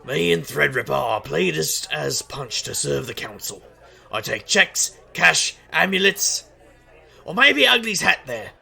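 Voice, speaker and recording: Male actor, wisecracking magician private eye, heavy vocal fry, sharp edge, sardonic audio drama narration. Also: on the high side, very animated, a little slow, a faint crowd, full character voice. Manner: sardonic, wry. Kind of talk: noir-style first-person narration and dialogue in audio drama.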